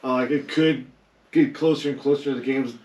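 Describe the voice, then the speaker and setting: A man's voice: medium pitch, steady tone, fairly quick, a little mellow. A man on a podcast panel chatting casually, a little hesitant as he searches for words. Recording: echoey room, faint noise.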